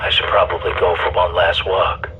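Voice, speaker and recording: A man playing a weary ship's officer in a sci-fi game, giving measured, solemn, formal log reports aboard a doomed starship. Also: sits low, monotone, lo-fi sound, noisy background, close to an everyday voice. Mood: resigned.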